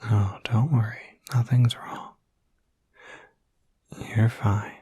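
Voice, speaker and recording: Man acting gentle werewolf, slow breathy whisper. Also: on the low side, steady tone, no room sound, full character voice. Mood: tender, reassuring. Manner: hesitant, reassuring, casual. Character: gentle, caring young male werewolf looking after someone. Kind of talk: whispered ASMR roleplay, intimate and addressed to the listener.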